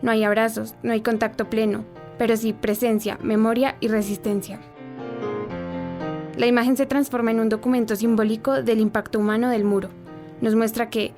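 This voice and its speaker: Woman doing solemn audio drama narration, slightly high voice, quiet music underneath. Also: natural voice. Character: solemn female narrator describing a historic image. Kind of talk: scripted narration.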